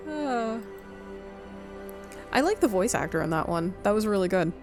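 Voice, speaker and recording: Woman casually playing a game, faint music behind. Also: medium pitch. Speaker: game streamer. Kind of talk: casual let's play commentary, reading on-screen text aloud.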